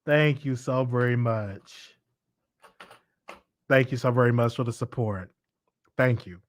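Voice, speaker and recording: A man's voice: mid-range voice, measured pace, no echo. A man in his thirties with a Southern US accent, casually chatting with viewers as host of a live stream, laughing often and repeating himself. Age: 30s.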